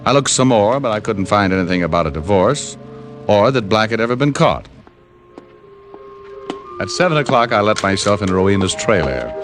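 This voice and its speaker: A tough, wry American private eye talks in a low, lively voice with a clipped hard-boiled edge. It is old-time radio detective drama, with music clearly behind him. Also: male voice, normal speed, pauses often. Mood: wry, matter-of-fact. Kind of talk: 1940s-50s radio detective acting and narration.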